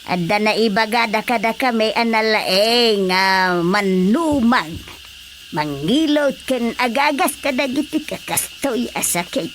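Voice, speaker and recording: A woman's voice: a little high, animated, a little slow, fairly bright tone. An actress playing a worried village woman in a radio drama. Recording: radio drama with faint noise.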